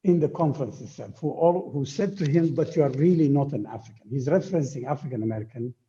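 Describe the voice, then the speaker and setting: A man speaking informally and unscripted on a panel, halting and repeating himself as he searches for words. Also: mid-range voice, mellow. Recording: dull, muffled online call.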